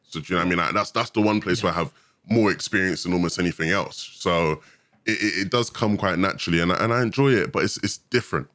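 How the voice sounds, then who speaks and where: A young man in his twenties with a very low voice talks quickly and eagerly, telling his story with excitable energy. The recording is dry and close, with no room sound. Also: no room sound. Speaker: podcast guest. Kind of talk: casual storytelling chat.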